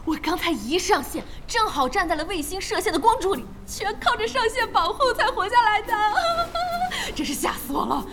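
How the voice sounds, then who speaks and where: Young female gamer, high and very animated, nervy and excitable, faint music underneath. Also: normal speed, slight background noise, exaggerated voice. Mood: amazed relief. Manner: excitable, expressive, wide-eyed. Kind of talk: Chinese audio drama acting.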